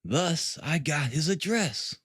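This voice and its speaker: Smug, boastful police detective voiced by a man, animated. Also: on the high side, slow pace, slightly rough, no room sound, clean studio sound, heavily acted. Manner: self-satisfied, emphatic, chatty.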